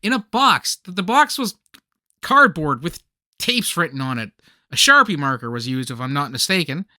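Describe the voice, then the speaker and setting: Man acting earnest, fussy, bright-voiced guy. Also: on the high side, sing-song, normal speed, no echo, clean studio sound, heavily acted. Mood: hesitant. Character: earnest, fussy man obsessed with old holiday tapes. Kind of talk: comedic radio play acting.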